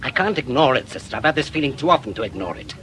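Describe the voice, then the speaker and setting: Older male, embittered ex-inspector, gruff, sharp forceful tone, very animated, radio drama, faint background noise. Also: mid-range voice, very fast. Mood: brooding. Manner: gruff, colloquial, insistent. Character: embittered, stubborn old retired police inspector hunting witches.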